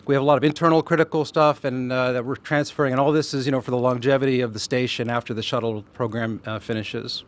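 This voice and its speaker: An astronaut talks about the mission to the press with enthusiasm. He speaks loosely, with many fillers between his points, in a plain mid-pitched voice. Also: fairly even intonation, quick pace, hardly any pauses. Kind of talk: press statement, loosely spoken.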